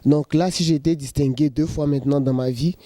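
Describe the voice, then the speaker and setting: Relaxed man in his thirties, joking. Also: a little high, a little fast, no echo, clean studio sound. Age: 30s. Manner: relaxed, joking, repeats "voilà" often. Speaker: choreographer. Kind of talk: interview answers to camera.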